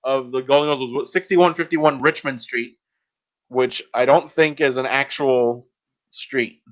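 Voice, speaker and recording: A man's voice: medium pitch, fairly even intonation, a little slow. A man hosting a podcast in casual, conversational chat. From Miami, Florida. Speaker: podcast host. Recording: dull, band-limited podcast audio.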